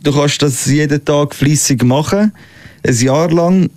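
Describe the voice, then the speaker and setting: A man's voice: fairly high pitch, unhurried, darkish tone, no room sound. Plain-spoken Swiss German man. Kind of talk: casual chat.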